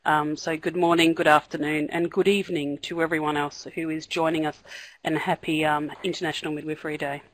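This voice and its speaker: A woman opening a webinar talk, brisk and chatty with fillers. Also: low voice, flat delivery, poor audio. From Australia. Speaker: conference presenter. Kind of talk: webinar introduction. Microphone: computer mic in a web meeting.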